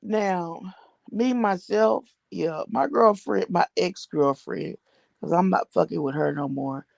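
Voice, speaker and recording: A woman's voice: on the low side, slow, creaky, darkish tone, no room sound. An American woman giving casual gossip commentary, blunt and slangy with plenty of swearing, repeating phrases for emphasis. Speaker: vlogger commenting on social media personalities.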